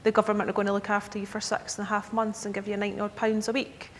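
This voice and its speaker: A woman's voice: completely flat delivery, very fast. A Scottish woman in her thirties giving a conference presentation to advisers, chatty, often checking in with the audience. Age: 30s. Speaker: key account manager presenting to advisers. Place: conference hall. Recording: echoey hall with faint rumble.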